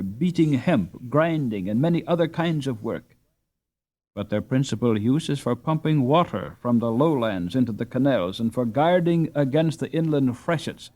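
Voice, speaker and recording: A man's voice: medium pitch, darkish tone. A man reading a classic book aloud as scripted audiobook narration.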